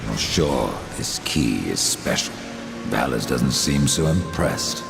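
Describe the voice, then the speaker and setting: An actor playing a grim, sardonic horseman warrior in a video game, terse and dry with a gravelly voice. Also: very deep voice, animated, takes it slowly, over music, full character voice. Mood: skeptical. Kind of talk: dramatic video game cutscene acting.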